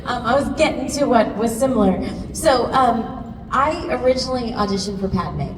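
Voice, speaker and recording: Woman panelist, lively storyteller, echoey hall, heard from far off, noisy. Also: fairly high pitch, moderate pace. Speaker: convention panel guest, voice actor. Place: large convention hall. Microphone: handheld mic, recorded from far off in the audience.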